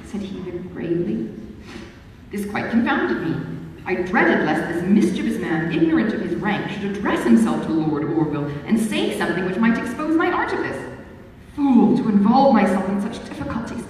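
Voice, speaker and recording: A woman giving a lively, theatrical reading of a novel at a book launch, first-person narration. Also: normal speed, slight background noise. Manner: lively, theatrical, swoops in pitch. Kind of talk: expressive dramatic reading of a novel. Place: book launch event hall. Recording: echoey hall from far off.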